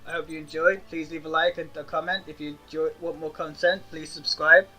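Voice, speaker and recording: A man's voice: flat delivery, brisk, pushed and bright, faint music behind, low-quality recording. A man hosting a gaming channel, chatting casually to viewers and thinking aloud as he plays a card game. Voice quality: self-described high-pitched voice. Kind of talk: casual let's-play commentary.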